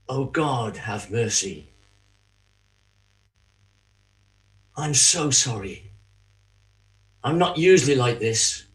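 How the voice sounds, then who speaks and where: A man playing a remorseful betrayer in a dramatic church monologue, halting, anguished and confessional as he pleads. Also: mid-range voice, poor audio. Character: Judas, remorseful betrayer haunted by guilt, pleading.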